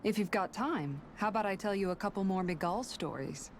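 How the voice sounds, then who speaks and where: A woman voicing an earnest, idealistic young guardswoman in a video game, speaking sincerely and gently. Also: mid-range voice, brisk, a little hiss or hum, lightly acted. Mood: fond, earnest. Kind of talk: scripted video game dialogue. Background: game music.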